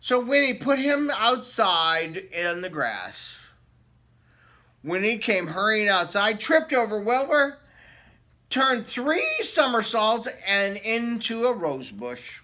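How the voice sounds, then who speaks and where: A man's voice: sits high, big pitch swings, takes it slowly, pushed and bright. A man reading a picture book aloud to children in a playful way, asking them questions along the way. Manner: playful, addresses young listeners with questions. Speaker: children's story reader. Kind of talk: animated picture book read-aloud with asides to listeners.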